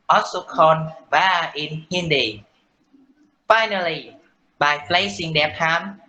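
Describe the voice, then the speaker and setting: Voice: mid-range voice, sharp tone, poor audio. A teenager with a Vietnamese accent, playing a talk-show guest in a class role-play. They recite a prepared explanation with many "uh" and "um" fillers. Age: late teens. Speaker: student playing a guest from India.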